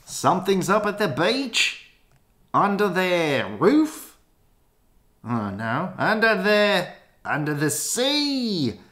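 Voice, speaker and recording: A reader putting on a high, breathless voice for an eager, naive cartoon reporter. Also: very animated, slow, fairly bright tone. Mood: excited.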